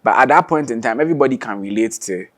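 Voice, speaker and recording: A man's voice: mid-range voice, animated, fast talker. A young man, a singer and guitarist, chatting casually as an interview guest, laughing often and restarting his sentences.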